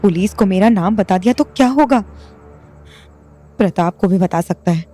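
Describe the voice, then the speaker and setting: A woman voices a fierce, cornered young wife talking to herself in a tense, brooding way. Her voice is husky and mellow, and faint music runs behind her. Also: mid-range voice, expressive, moderate pace, natural voice. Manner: tense, brooding inner monologue.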